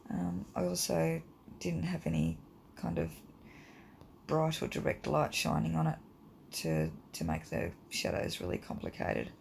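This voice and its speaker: A woman with a croaky voice from hay fever, teaching a drawing lesson in a relaxed, rambling way with many ums. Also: deep voice, a little slow, a little hiss or hum. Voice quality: croaky, gravelly. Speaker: art teacher. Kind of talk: casual drawing tutorial voice-over.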